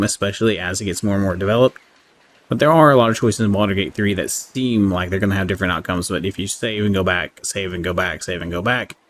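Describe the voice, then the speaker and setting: A man giving casual, unscripted game review commentary in a halting, conversational way. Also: on the low side. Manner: halting, conversational, often checks in with "right?". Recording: clearly audible background noise.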